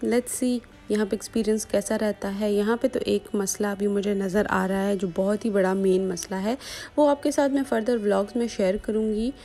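A woman's voice: mid-range voice, mellow. A young woman, narrating a home vlog in a casual, chatty style. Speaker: vlogger.

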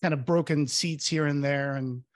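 Portuguese guy on headphones, video call, dry close sound, higher voice, friendly chatty. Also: steady tone, no echo. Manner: friendly, quick agreeing backchannels. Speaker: podcast co-host. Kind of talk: casual interview chat. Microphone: headphones and webcam on video call.

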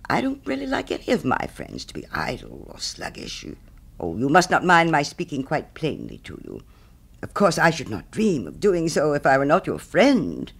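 A man's voice: on the high side, huge pitch swings, moderate pace, gravelly, husky, exaggerated voice. A man voicing a smug, selfish miller who preaches friendship in a pompous, moralizing way, as a storybook character. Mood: scolding. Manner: pompous, self-righteous, moralizing.

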